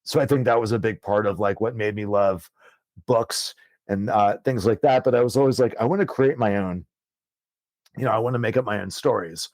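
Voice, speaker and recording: A man's voice: lowish voice, a little fast, darkish tone, no room sound. A man in his thirties, an author guest telling his story in a casual, rambling way with many fillers and easy swearing. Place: podcast studio.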